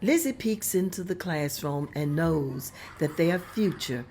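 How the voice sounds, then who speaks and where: A woman reads stage directions aloud in a plain, steady, even way. Her voice is on the low side. The recording carries clear background noise. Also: unhurried. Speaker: narrator reading stage directions. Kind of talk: table-read narration of a script.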